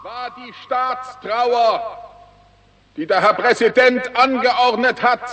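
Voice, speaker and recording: An actor playing a pompous, demagogic prime minister delivering a declamatory funeral oration in a 1960s radio play, in a reverberant hall. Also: male voice, extremely high pitch, pushed and bright, exaggerated voice. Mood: solemn, mournful. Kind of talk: solemn political oration, 1960s radio acting. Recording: long hall reverb of public address.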